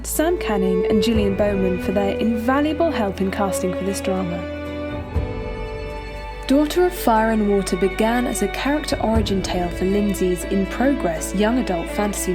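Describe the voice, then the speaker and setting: Female presenter for scripted sign-off narration, mid register, formal delivery, over prominent music. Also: medium pitch. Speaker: presenter reading the credits.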